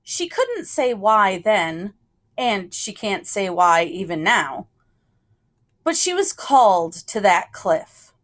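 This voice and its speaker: A woman reading a children's picture book aloud in a storytelling style, with measured phrasing and deliberate pauses. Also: mid-range voice, big pitch swings, fairly bright tone.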